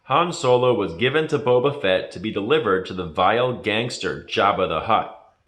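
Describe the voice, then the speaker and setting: A man reading a children's story aloud as a scripted narration, in a dramatic, deliberate manner. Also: fairly low pitch, lively intonation, fairly bright tone. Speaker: storybook reader. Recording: echoey room.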